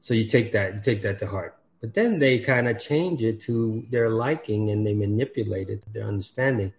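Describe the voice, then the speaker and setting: A man's voice: fairly low pitch, normal speed. A man on a podcast, chatting casually and acting out the dialogue in his stories. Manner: animated storyteller, acts out dialogue. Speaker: podcast guest. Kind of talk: casual chat. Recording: dull lo-fi audio.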